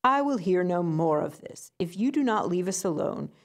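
A woman's voice: lowish voice, animated, moderate pace. A woman voicing a snooty, pompous old male swan who talks down to others. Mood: haughty. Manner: pompous, haughty.

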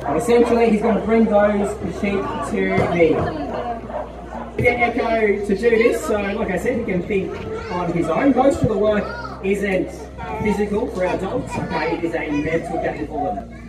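A man's voice: sits high, normal speed, very noisy. A young man giving a chatty live demonstration talk to a crowd at an outdoor farm paddock, often asking "all right?". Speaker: farm dog handler. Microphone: distant handheld camera. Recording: distant, echoey, with loud music and crowd.

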